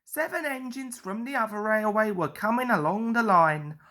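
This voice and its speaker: A woman's voice: medium pitch, normal speed, a bit bright. A woman reading a children's story aloud as narrator.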